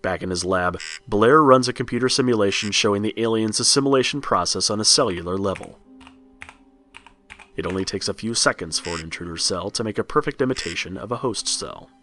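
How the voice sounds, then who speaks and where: Low-voiced man narrating a horror storybook. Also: a little fast, sharp tone. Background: music.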